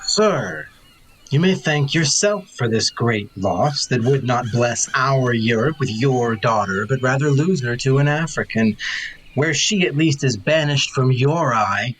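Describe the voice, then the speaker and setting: A mocking nobleman played by a man speaks in a husky, mid-pitched voice. He is blunt and needling, in the formal verse of a Shakespearean audio play. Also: lively intonation, unhurried, low-quality recording. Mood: accusing, bitter. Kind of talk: live audio drama Shakespeare acting.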